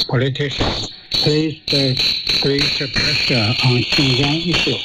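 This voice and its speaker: A man giving commentary in halting, careful phrases on a shortwave radio panel. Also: medium pitch, heavy background noise. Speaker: panel commentator, research fellow. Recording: shortwave radio, loud music-like interference.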